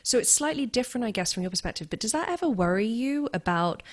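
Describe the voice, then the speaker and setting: A woman's voice: a little high, expressive, fast talker. A woman hosting a podcast, talking candidly and reflectively in a personal, conversational interview style. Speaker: podcast host.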